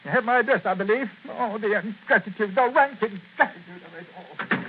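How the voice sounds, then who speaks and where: High-pitched, querulous old miser, played by a man. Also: fairly quick, lightly acted. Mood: self-pitying. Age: older. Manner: blubbering, querulous lamenting. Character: miserly, self-pitying, aggrieved old retired man. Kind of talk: 1940s radio acting. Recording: scratchy, tinny 1940s radio recording.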